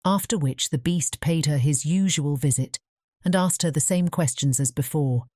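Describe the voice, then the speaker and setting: A woman narrating a fairy tale audiobook in a measured bedtime storytelling manner. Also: low-pitched, warm tone, no echo, studio quality.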